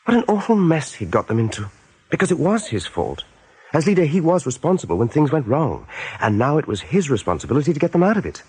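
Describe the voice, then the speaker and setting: A man's voice: on the high side, sing-song, fast, a little mellow, breathy edge. A man narrating a radio play story expressively and with suspense.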